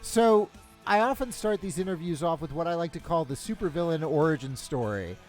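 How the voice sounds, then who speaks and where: A man's voice: fairly high pitch, lively intonation, a little fast, faint music behind. A man hosting a casual interview show, rambling with many fillers and restarts. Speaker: podcast host.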